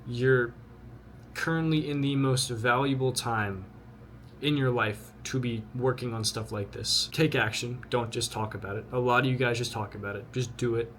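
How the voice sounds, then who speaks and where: Young man, twenty, earnest and blunt, repeats himself, mid-pitched voice, faint ambient noise. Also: steady tone, moderate pace, fairly bright tone. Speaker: vlogger. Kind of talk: motivational talk to camera.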